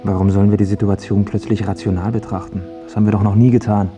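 An actor playing an ailing, self-pitying failed pop singer who pleads and justifies himself in a radio drama. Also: male voice, fairly low pitch, level tone, fast talker, music in the background, lightly acted. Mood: self-pitying, defensive.

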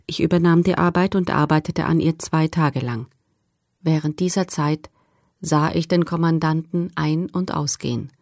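An actress playing a composed woman prisoner, giving a sober, measured testimony of life in a camp. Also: sits low, darkish tone, no room sound, studio quality. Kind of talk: dramatised testimony reading.

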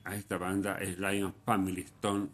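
A man hosting a radio music program, telling anecdotes in an unhurried, halting way with frequent restarts. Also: low-pitched, monotone. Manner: unhurried storyteller, pauses and restarts, swings in loudness. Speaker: radio host.

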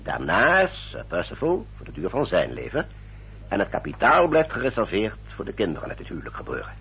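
An actor playing a courteous English family solicitor in a radio drama, speaking in a formal, measured, explanatory manner. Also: male voice, on the low side, huge pitch swings, gravelly, husky, full character voice. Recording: dull, muffled old radio recording.

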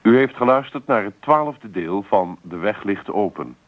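Deep-voiced male radio announcer. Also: lively intonation, measured pace, faint music behind. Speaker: closing announcer. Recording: narrow, phone-like old radio recording.

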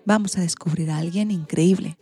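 Casting: female children's narrator, soft mellow timbre with a breathy husk, slightly low. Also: brisk, faint music behind. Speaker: storyteller and presenter.

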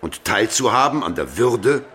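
Hot-tempered emperor, bright and forceful. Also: male voice, expressive, moderate pace, a little hiss or hum. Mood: indignant. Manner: indignant, booming, imperious. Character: hot-tempered, blustering medieval German emperor. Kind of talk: radio drama acting.

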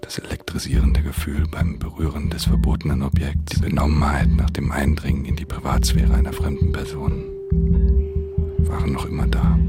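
A brooding pickpocket tells his own story in a radio drama. The voice is extremely deep and crackles with gravel. The delivery is measured and introspective over a clear music bed. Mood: hushed tension. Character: brooding male pickpocket narrating his criminal life in Tokyo. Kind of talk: radio drama first-person narration.

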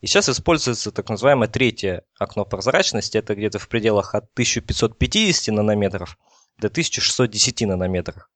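A man's voice: lowish voice, animated, fast talker, a bit bright, no room sound. A man explaining technical material in a casual podcast discussion, hesitant and thinking aloud as he goes. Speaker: technical expert explaining telecom topics.

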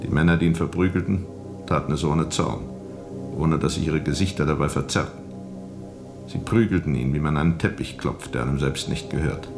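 An actor playing a grave, seasoned sea captain who recounts a jungle river journey in a deliberate, brooding way for a radio drama. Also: male voice, very deep voice, gravelly, over music. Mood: grim recollection. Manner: deliberate, brooding, measured storytelling. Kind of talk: radio drama narration, recounting under questioning.

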